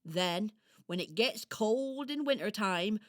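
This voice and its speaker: Woman acting a kindly sleepy hedgehog, children's radio play, very unhurried, exclamatory, animated intonation. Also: mid-range voice, pitched below the performer's own voice. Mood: sympathetic, helpful. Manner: exclaiming, dramatic, drawn-out delivery.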